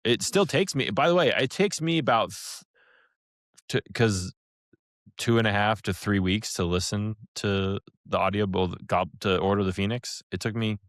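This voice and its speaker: A man's voice: on the low side, normal speed, no echo, studio quality. An American man co-hosting a podcast in casual chat, opinionated and emphatic in the way he talks. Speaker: podcast co-host.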